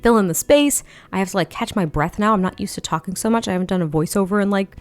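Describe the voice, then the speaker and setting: A woman giving a chatty voiceover for a home-building video, slightly hoarse and a little raspy, laughing often between rambling asides. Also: mid-range voice, fast, soft background music. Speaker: Sims build video creator. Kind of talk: casual voiceover commentary.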